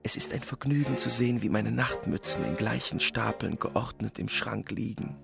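An actor playing a complacent, homely provincial husband, self-satisfied, in a literary radio play. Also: male voice, mid-range voice, lively intonation, moderate pace, creaky, over music. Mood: contented.

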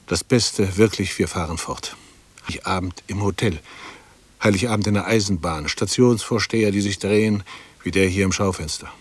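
An actor playing an embittered, dutiful bank employee in a radio play, brooding in clipped, self-justifying fragments. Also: male voice, deep voice, normal speed, slightly rough, no room sound. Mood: wistful. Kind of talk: interior monologue in a 1960s German radio play.

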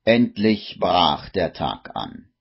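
A man narrating a radio play in a measured, scripted storytelling manner. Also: low-pitched, sing-song, gravelly, breathy edge, heavily acted. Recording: dull old radio recording.

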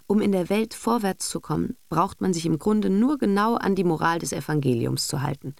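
A brisk, self-assured husband and father as a woman reader acts him, all business and cynicism in an audiobook. He is bossy and sardonic, with a creaky voice in a dry, close sound. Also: on the low side, no echo, voice pushed up. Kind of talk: literary audiobook character acting.

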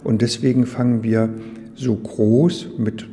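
Guy doing an exhibition tour, mellow voice, explaining informally, big echoey hall. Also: mid-range voice, fairly even intonation, unhurried, soft background music. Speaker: exhibition guide. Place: large echoing indoor exhibition room. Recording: long hall reverb, muted tone.

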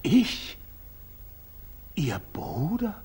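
An actor playing a proud, impetuous young knight with a husky voice, intense and abrupt, in a 1940s German radio play. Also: male voice, on the high side, huge pitch swings, extremely slow, darkish tone, slight background noise, exaggerated voice. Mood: stunned. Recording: old 1940s radio drama recording.

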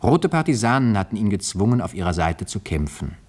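A man presenting in a measured, scripted, literary style, as in a radio introduction. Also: lowish voice, big pitch swings, darkish tone.

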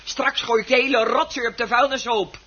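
A woman's voice: fairly high pitch, steady tone, a little fast, husky. An actress playing an exasperated, scornful woman in a radio drama, nagging and goading with mockery. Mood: scornful, taunting. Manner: sharp, mocking, goading. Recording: dull, muffled old radio play recording.

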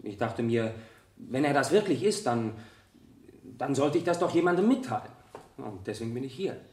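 A man playing a young student witness in a radio courtroom drama, halting and stammering yet insistent. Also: medium pitch, expressive, a little mellow, natural voice. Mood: hesitant, earnest. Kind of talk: radio play courtroom dialogue. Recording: roomy 1970 radio drama studio.

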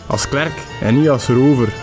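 An actor playing a bumbling, skeptical musician sidekick who talks in thick Flemish dialect in a comic radio play. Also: male voice, mid-range voice, normal speed, music in the background, close to an everyday voice. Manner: folksy, thick regional dialect. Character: skeptical, bumbling singer-songwriter sidekick with folksy speech. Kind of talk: comedic radio play acting.

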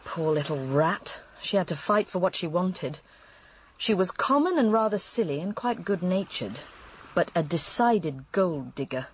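An actress playing a candid, world-weary English widow in a radio drama, confiding and matter-of-fact. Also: female voice, fairly low pitch, very animated, unhurried, a little hiss or hum, poor audio. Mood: wry.

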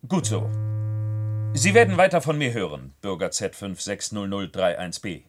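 A man's voice: lowish voice, big pitch swings, normal speed. A man playing a stern, officious control official in a 1970s science-fiction radio play, speaking in formal, commanding bureaucratic language. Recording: noisy old radio drama recording.

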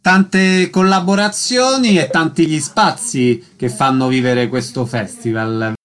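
A man's voice: fairly high pitch, unhurried, a bit bright. A man working as a radio interviewer, giving scripted introductions and questions.

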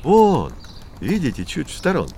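A man's voice: fairly high pitch, wildly swooping pitch, normal speed, gravelly, mellow, slight background noise, heavily acted. A man playing a kindly, patient professor explaining things to children in a scripted educational audio play. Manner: instructive, encouraging.

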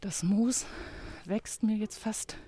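Husky, mellow woman, slow-spoken. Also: mid-range voice. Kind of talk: improvised poetic musing.

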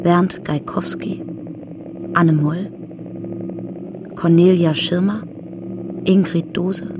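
An announcer reading scripted radio drama credits in a measured, formal manner. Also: mid-range voice, noisy background.

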